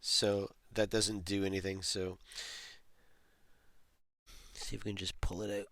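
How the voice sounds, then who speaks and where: A man's voice: lowish voice, little pitch movement, takes it slowly, vocal fry, a bit bright, no echo. A man hosting a hands-on repair tutorial, casually explaining each step as he works. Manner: explains step by step while working. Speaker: host of a toy restoration tutorial.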